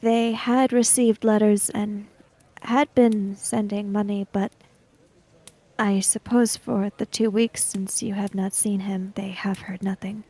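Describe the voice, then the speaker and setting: A woman playing a polite, composed samurai-era investigator in a tabletop game, formal and soft-spoken. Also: medium pitch, steady tone, takes it slowly. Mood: polite, careful.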